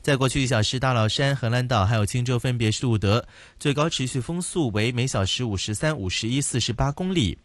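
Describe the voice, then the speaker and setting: A man reading a formal weather and news bulletin on the radio in a steady, measured way. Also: mid-range voice, no room sound. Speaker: radio newsreader. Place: radio studio.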